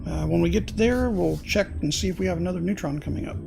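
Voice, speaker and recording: A man with a fairly high voice and an extremely gravelly, rough edge, hoarse as if his voice is giving out. He chats through his gameplay stream at an easy pace, thinking aloud and clearing his throat now and then, with a faint hiss of noise behind him. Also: fast talker. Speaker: game streamer. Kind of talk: casual gameplay commentary.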